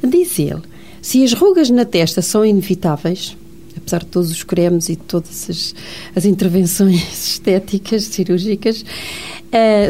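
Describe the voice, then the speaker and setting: Female radio host, mellow warm tone, hesitant with fillers. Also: fairly low pitch, slight background noise. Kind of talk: radio talk show conversation. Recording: radio studio.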